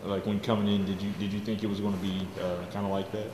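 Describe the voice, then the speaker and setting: A man's voice: fairly low pitch, level tone, quick pace, background noise. A man interviewing audience members, asking questions in a casual, hesitant way. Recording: echoey hall with music.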